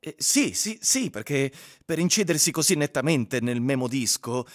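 A brooding husband in a radio drama, played by a man. His voice sits at a medium male pitch, and he speaks in an introspective, literary way, like someone lost in infatuation. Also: big pitch swings, moderate pace, close to an everyday voice. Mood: brooding, wistful. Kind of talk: radio drama monologue and dialogue.

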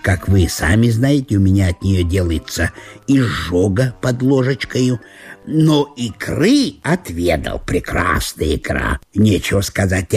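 A man's voice: lowish voice, darkish tone, slightly rough, heavily acted. A man playing a chatty, self-absorbed provincial judge in a radio play, rambling and savoring his words. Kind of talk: theatrical radio monologue.

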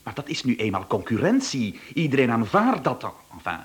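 Nervous businessman, Flemish accent, lively swooping intonation, hesitant stammer, incredulous, radio play. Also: mid-range voice, brisk. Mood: indignant, defensive. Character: nervous, incredulous male businessman, easily flustered. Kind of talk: radio drama acting.

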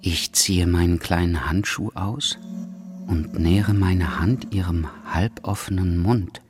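A man acting as a meticulous car-factory worker who narrates a scripted audio drama in a precise, deliberate manner. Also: low-pitched, music in the background. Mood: methodical, absorbed.

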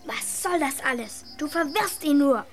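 A woman's voice: high-pitched, animated, normal speed, music in the background. A female performer playing a withdrawn, suspicious young girl who speaks tersely and confrontationally in a radio drama.

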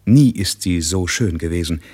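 An actor playing a grave, knowing narrator in a literary radio drama, with a husky voice. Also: male voice, low voice, moderate pace, a little mellow. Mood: wry, observing.

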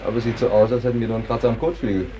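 Actor as deep, quick, deadpan companion. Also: male voice, background noise, lightly acted. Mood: shaken, sardonic. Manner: deadpan banter. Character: dry, teasing male companion, wry romantic. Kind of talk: 1950s German radio drama acting.

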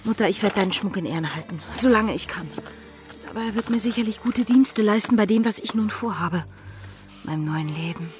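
An actress playing a defiant, headstrong young merchant's daughter, proud and impassioned, in a German radio drama. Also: female voice, mid-range voice, fairly quick, music in the background, natural voice. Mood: hushed, solemn. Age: young adult. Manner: impassioned, swinging from hushed to crying out.

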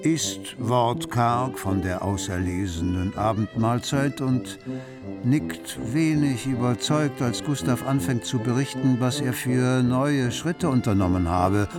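An actor playing a wry literary narrator, telling the story in measured, scripted radio play style. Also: male voice, mid-range voice, over music, close to an everyday voice. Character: literary narrator, wry observer of bourgeois households.

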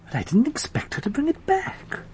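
A man's voice: very high voice, huge pitch swings, a little fast, heavily acted. An actor playing a discreet, knowing shopkeeper in a radio drama, a man with a confiding, insinuating manner.

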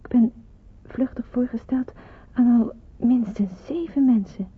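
Radio drama, narrow muffled sound: a wary young woman in wartime hiding, introspective, very breathy. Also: fairly high pitch, a little slow. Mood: musing, bewildered. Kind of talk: radio drama narration and dialogue. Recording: muffled, narrow-band old radio recording.

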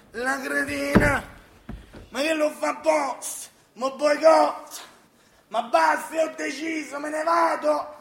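A frustrated, self-important man with a southern Italian accent in a radio play. His voice is extremely high and gravelly, ranting and declaiming as if to a crowd. It rings in a roomy, echoing space. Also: slight background noise, full character voice. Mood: exasperated ranting. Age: 30s. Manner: ranting, declamatory, often shouting. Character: frustrated, boastful man of thirty-three dreaming of projects. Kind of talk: theatrical radio drama acting. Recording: roomy stage-like echo.

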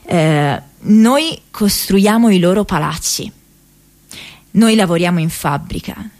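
An actress playing an earnest, haunted young American woman activist in an intimate radio drama monologue, speaking haltingly with hesitant pauses and repeated words. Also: lowish voice, a little mellow. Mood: busy, musing. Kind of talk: intimate radio drama monologue, diary read as spoken memories.

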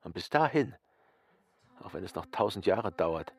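An actor playing a brooding, quietly hopeful dissident in a radio drama, speaking in a reflective, earnest way. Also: male voice, low voice, lively intonation, moderate pace, gravelly, breathy edge, exaggerated voice. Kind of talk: German radio drama acting.

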